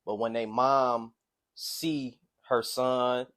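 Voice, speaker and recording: A man's voice: medium pitch, fairly even intonation, a bit bright. A man, hosting a casual interview with slangy, easygoing questions. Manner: easygoing, slangy, quick affirmations. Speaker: interviewer, host.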